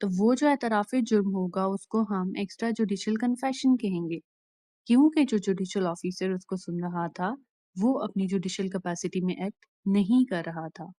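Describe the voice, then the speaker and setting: A woman with a Pakistani accent giving an explanatory law lecture in a deliberate, instructional way, restating each point plainly. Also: mid-range voice, mellow, dry recording. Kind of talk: explanatory lecture mixing Urdu and English.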